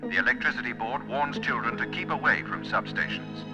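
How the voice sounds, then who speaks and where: Actor as a sober radio newsreader over loud music. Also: male voice, medium pitch, animated, brisk, lightly acted, rough recording. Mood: grave. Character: sober male radio news announcer. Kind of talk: scripted radio news bulletin. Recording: old film soundtrack, loud music.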